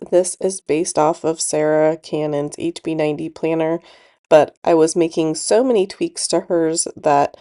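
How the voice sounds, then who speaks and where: Congested, creaky low-voiced woman vlogger. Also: warm tone. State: congested, losing voice. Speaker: planner channel host. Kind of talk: casual plan-with-me voice-over.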